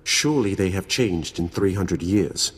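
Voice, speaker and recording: An actor playing an ancient, proud Sith lord in a video game, speaking in a formal, solemn and disdainful manner. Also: male voice, low-pitched, lively intonation, measured pace, breathy edge, full character voice. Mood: scornful. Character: ancient, grim, proud Sith lord, three centuries old. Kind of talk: dramatic video game voice acting.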